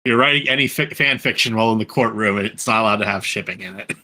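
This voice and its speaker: A man chatting casually on a group podcast, thinking out loud in stop-start phrases. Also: on the low side, fast talker. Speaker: podcast panelist.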